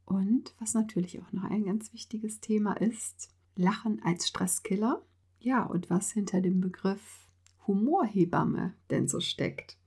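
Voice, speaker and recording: A woman hosting a podcast interview, upbeat and quick to laugh. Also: mid-range voice, mellow, breathy edge. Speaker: podcast host.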